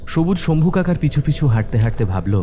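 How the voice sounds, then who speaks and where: A man's voice: fairly high pitch, very animated, quick pace, dark tone, a little hiss or hum. A man narrating a scripted horror story as its storyteller.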